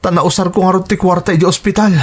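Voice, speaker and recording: A man's voice: high-pitched, flat delivery, fast talker. A man playing a hurt, indignant young son who feels betrayed, in melodramatic audio drama style. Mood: shocked, hurt. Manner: emotional, reproachful.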